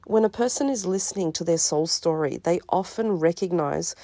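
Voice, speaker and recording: A woman with a slightly sniffly voice talks in a calm, measured way, as if reading a prepared script about her counselling work. Her voice sits low and mellow, recorded close and dry with no room sound. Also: dry recording. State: a little sniffly from a cold. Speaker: spiritual counsellor presenting her service. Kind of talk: scripted promotional talk.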